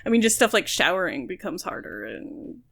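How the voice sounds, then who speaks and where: A woman's voice: lowish voice, very animated, a little fast, gravelly, a bit bright. A woman co-hosting a podcast, chatting about a book in a frank, informal way. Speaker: podcast co-host. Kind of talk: casual book discussion.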